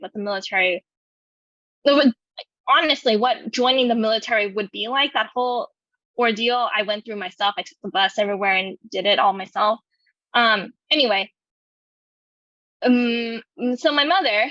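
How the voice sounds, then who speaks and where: A woman's voice: fairly high pitch, bright, forceful tone, no echo. A young woman from California tells her story in an oral history interview. She speaks hesitantly, with frequent fillers and restarts. The audio is dry and slightly muffled, as on a video call. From California, USA. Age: 20s. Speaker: veteran interviewee.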